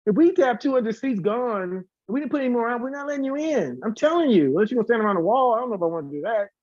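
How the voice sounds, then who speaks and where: Chatty guy guest talking really fast, effusive n rambly, kinda dull lofi sound, no room echo. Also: extremely high pitch, lively intonation, darkish tone. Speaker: guest, singer and concert organiser. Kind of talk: casual live chat, talkative promotion.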